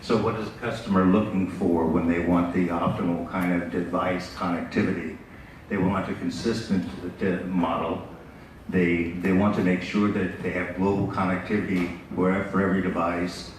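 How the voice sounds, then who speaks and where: Deep, flat-voiced American man in an echoey hall. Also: normal speed. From United States. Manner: relaxed and conversational, with frequent fillers. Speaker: business development speaker. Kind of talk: conference presentation. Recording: echoey hall, distant mic, background noise.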